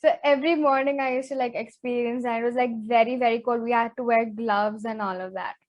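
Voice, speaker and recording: Teen girl co-host, slightly high voice, chatty and quick to get worked up. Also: muffled recording. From Zambia. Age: teens. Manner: chatty, animated, says "like" a lot. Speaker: co-host, interviewee. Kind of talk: casual chat podcast.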